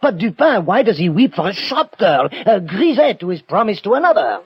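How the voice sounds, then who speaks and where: Self-assured police prefect, high voice, excitable, insistent. Dull vintage radio sound. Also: male voice, fairly quick, slightly rough, full character voice. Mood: suspicious. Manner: insistent, emphatic, repeating himself. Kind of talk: 1960 radio drama acting. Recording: dull old radio broadcast, faint music.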